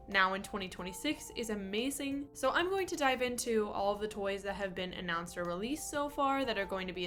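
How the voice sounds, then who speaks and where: A woman's voice: fairly high pitch, a bit bright. Congested young woman reviewing toys. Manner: upbeat, fond, chatty. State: congested from a cold. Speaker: toy collector vlogger. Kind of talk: casual toy review vlog.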